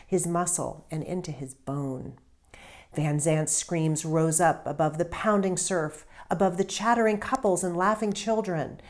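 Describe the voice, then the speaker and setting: Woman, lowish voice, expressive storytelling narration, deliberate phrasing, emphatic stresses, author reading aloud.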